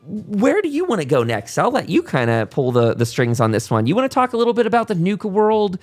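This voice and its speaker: A man's voice: sits high, huge pitch swings, fairly quick, soft background music. A man hosting a podcast in a casual chat style, talking in an enthusiastic, rambling way. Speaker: podcast host.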